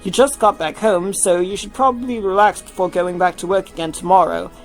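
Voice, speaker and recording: A woman's voice: medium pitch, brisk, soft background music, close to an everyday voice, poor audio. A woman voicing an easygoing, supportive young male rock star in a fan fiction reading, casual and coaxing. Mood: suggesting.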